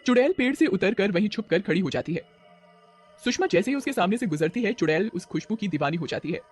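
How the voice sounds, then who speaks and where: A man's voice: high voice, animated, fast talker, breathy edge, faint music behind. A man narrating a horror story as a dramatic storyteller.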